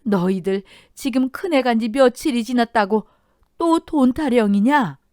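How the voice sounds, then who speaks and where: Woman putting on a desperate old mother-in-law, way higher than normal, wailing and begging, dry close sound. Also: measured pace, a little mellow, no echo, natural voice. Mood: indignant. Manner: wailing, pleading, high-strung. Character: grieving elderly mother-in-law, desperate and fierce.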